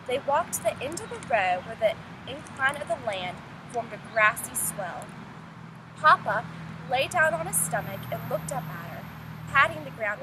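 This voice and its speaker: A woman teacher reading a story aloud to children, speaking to her young listeners directly, recorded outdoors. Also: fairly high pitch. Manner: addresses young listeners with questions and prompts. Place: outdoors in the open. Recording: noisy windy outdoor recording with traffic.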